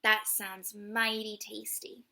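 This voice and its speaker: A woman's voice: medium pitch, flat delivery, slow pace, vocal fry, sharp tone, full character voice. A woman voicing a polite, hungry police officer in a storybook, savouring the thought of food. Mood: polite, tempted.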